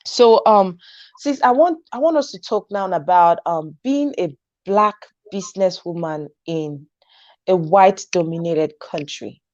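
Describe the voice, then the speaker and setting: A woman's voice: fairly low pitch, a little slow, a little mellow, no room sound. A woman hosts a live online talk show, reading out viewer comments and encouraging her guest, with frequent laughter. Her voice comes through a remote call, dry with no room sound, and slightly dull and muffled. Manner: encouraging, laughs often, reads comments aloud.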